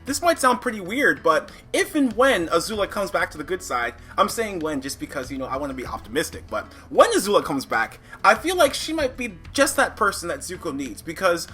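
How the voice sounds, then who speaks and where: Casual reviewer chatting thru opinions, really lively up and down pitch, music playing behind. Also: a little fast, few pauses. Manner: conversational, thinking aloud with frequent hedging asides. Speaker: comic book reviewer. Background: background music.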